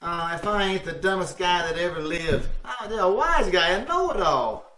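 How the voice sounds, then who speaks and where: A man's voice: high-pitched, expressive, normal speed, sharp tone, distant mic. A man playing a blustering, hapless husband with folksy, slangy wisecracks in old-time radio comedy style. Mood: self-berating. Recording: roomy, noisy online call, off mic.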